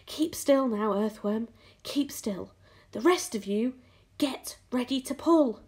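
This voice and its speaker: A woman's voice: extremely high pitch, big pitch swings, a little slow, pitched above the performer's own voice, full character voice. A woman voicing a brave young boy in a children's storybook, giving urgent commands. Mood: hushed urgency. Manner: urgent commands, shouted or hushed.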